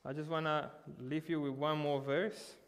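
A man with a somewhat high-pitched voice delivers a sermon to his congregation. He speaks earnestly and slows his words when he wants them to land. His voice rings with the long reverb of a church hall. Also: flat delivery. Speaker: preacher.

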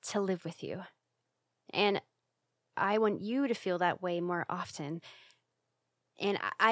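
A woman playing a bashful, secretly affectionate young tsundere roommate in an ASMR roleplay, speaking in a halting, flustered way with sighs. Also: mid-range voice, a bit bright, no room sound, clean studio sound, close to an everyday voice.

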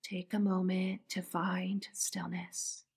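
A woman softly narrating a guided meditation in a soothing, breathy manner. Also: on the low side, little pitch movement, slow. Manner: soft, breathy, soothing delivery.

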